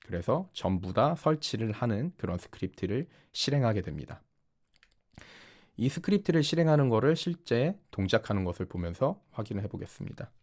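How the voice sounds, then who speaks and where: A man narrating a software tutorial as an instructor, explaining step by step in polite, formal speech. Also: medium pitch, big pitch swings, fairly quick. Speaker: programming instructor. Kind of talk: tutorial narration over screen demonstration.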